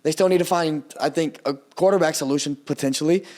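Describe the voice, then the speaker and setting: A young man whose first language is Portuguese, talking football with friends on a podcast. His voice sits a touch high for a man, and he talks in quick, animated bursts, often cutting himself off and swearing freely. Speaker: podcast co-host. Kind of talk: casual sports chat.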